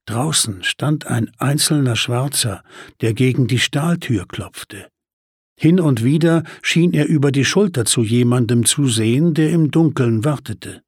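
A man narrating a first-person crime story as an audiobook, measured and tense with dry humor. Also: medium pitch, husky, dry recording.

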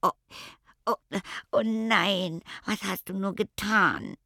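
A man's voice: high voice, slow pace, bright, forceful tone, dry recording, studio quality, voice pushed up, exaggerated voice. A man voicing a timid, anxious little creature who whines and pleads. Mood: fretful. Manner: whiny, fretful, pleading.

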